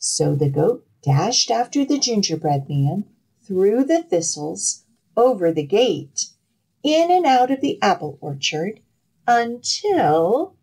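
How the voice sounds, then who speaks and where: Slow, animated woman reading a storybook. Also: medium pitch, breathy edge, low-quality recording. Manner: deliberate, dramatic storytelling. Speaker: children's librarian, storytime reader. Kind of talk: expressive picture-book reading.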